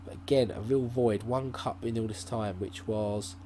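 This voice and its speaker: A man talks his way through a game save in a loose, thinking-aloud ramble, his voice sitting fairly low and mellow. A faint hum runs underneath from the laptop beside his desk mic. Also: steady tone, normal speed. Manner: rambling, thinking aloud with many fillers and restarts. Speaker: gaming channel host. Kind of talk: casual let's-play commentary.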